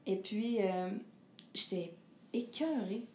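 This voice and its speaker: A woman's voice: medium pitch, slow, dark tone. A middle-aged woman with a Québécois French accent, a singer speaking as an interview guest, earnest and reflective, hesitating often and laughing as she talks. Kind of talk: candid personal conversation. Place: living room with a fireplace, indoors. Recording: echoey room with faint hiss.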